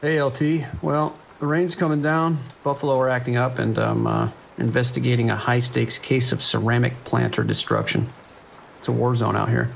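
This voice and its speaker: A man playing a calm, wry sheriff's dispatcher with a dry, reassuring manner in an audio drama. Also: medium pitch, normal speed, vocal fry, slight background noise, rough recording. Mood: wry, attentive. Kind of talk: naturalistic audio drama acting.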